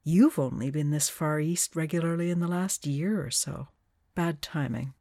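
A woman plays a stern witch in a fantasy audio drama. Her voice is low and dark, and she speaks firmly, thoroughly unimpressed. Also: moderate pace. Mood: matter-of-fact. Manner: dark-toned, firm. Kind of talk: acted fiction podcast dialogue.

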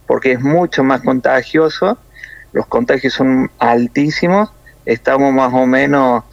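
A man from Córdoba with a Cordoban Argentine accent, a nurse explaining things in a measured way with many hesitations over a phone interview. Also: medium pitch, vocal fry, dry recording, lo-fi sound. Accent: Cordoban Argentine Spanish.

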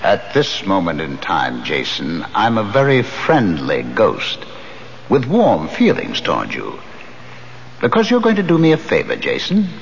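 Vengeful ghost on an old radio drama, really drawn out and threatening, muffled hissy sound. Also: male voice, low-pitched, wildly swooping pitch, creaky, exaggerated voice. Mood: coolly menacing. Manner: slow, deliberate, threatening. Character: menacing male ghost, coolly vengeful, commanding a living man. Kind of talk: radio mystery acting. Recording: dull hissy 1970s radio broadcast.

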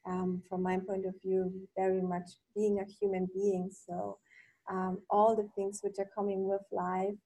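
Slow, husky woman in her thirties. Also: mid-range voice, completely flat delivery, dark tone, dry recording, low-quality recording. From Poland. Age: 30s. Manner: hesitant, many ums, self-correcting. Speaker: podcast guest. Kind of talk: interview answers.

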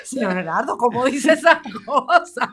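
A woman, casually telling anecdotes as an animated storyteller who acts out quotes. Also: high-pitched, moderate pace.

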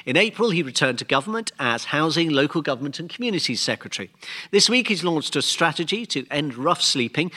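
Bright-voiced British man hosting, drily wry. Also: medium pitch. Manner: dry, wry humour. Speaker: debate show host. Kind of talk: scripted panel introductions with wry asides. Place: theatre stage before a live audience.

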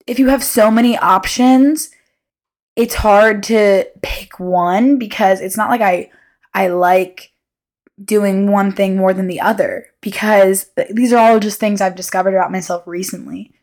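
A young woman, about twenty, hosts a casual solo podcast. Her voice sits at an average pitch for a woman. She speaks candidly and without a script, rambling and breaking off to rephrase her own sentences. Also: unhurried, a little mellow. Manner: rambling, self-interrupting, candid. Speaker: podcast host.

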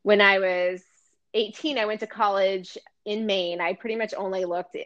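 A woman from Florida talks about her life in a relaxed, unhurried way. She hesitates often and laughs softly as she goes. Her voice sits in a middle pitch and comes through a slightly muffled, lo-fi remote call. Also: a crowd in the background. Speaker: guest artist. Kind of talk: casual interview chat.

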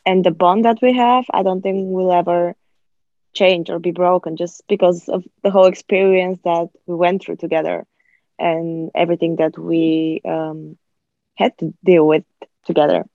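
A woman hosting a podcast interview with a lowish voice and measured, thoughtful questions. The recording is dry and muffled, with a lo-fi edge. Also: fairly even intonation, warm tone, no echo. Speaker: podcast host. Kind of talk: interview chat.

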